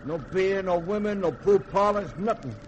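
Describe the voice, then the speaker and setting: In a noisy vintage radio recording, a man plays a comic male ship's cook. The voice is high, folksy and full of grumbling complaint. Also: normal speed, close to an everyday voice. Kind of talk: 1950s radio drama acting.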